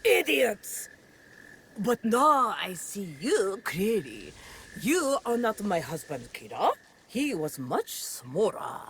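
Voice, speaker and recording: An actress playing an eccentric, confused village woman in a video game, with a husky, rough-edged voice. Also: mid-range voice, big pitch swings, slow pace, slight background noise. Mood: mocking, amused. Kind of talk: video game cutscene acting.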